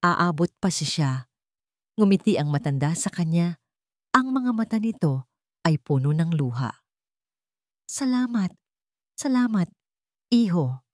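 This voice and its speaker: Woman narrating drama with animated pitch swings. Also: moderate pace, long pauses, no room sound, clean studio sound. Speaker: story narrator. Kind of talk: dramatic scripted storytelling narration.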